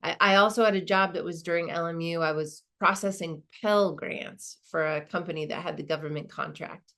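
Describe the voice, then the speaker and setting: A woman's voice: on the low side, fairly even intonation, fairly quick, fairly bright tone. An actress playing a modest woman law school dean, giving a reflective, conversational monologue with small hesitations. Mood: candid, reminiscing. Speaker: performer of a dramatized narrative.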